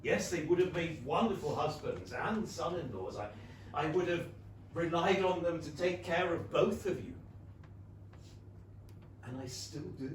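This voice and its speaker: An actor playing a gentle, pleading parent of grown daughters in Regency England, coaxing and theatrical, in a staged reading. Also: male voice, a little high, expressive, a little slow. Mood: coaxing, compassionate. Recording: echoey theatre, distant noisy mic.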